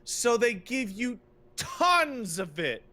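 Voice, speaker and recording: Emphatic, bright-voiced man ranting casually. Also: extremely high pitch, big pitch swings, slow. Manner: exasperated, emphatic, repeats phrases, swears freely. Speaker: podcast co-host. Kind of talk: casual opinionated rant.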